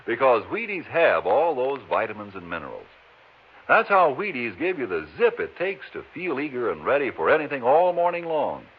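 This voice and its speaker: Swooping, folksy 1950s radio pitchman. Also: big pitch swings, normal speed, slightly rough. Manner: folksy, chatty, enthusiastic selling. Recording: muffled old radio broadcast recording.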